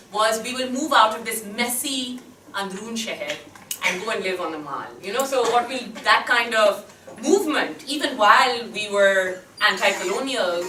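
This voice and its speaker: A woman's voice: medium pitch, bright, forceful tone. A woman giving an unscripted academic talk at a seminar, thinking aloud with many hesitations and fillers, speaking without a microphone. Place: lecture hall, speaking without a mic. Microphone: no mic, picked up from a distance. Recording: echoey hall, distant mic, faint hiss.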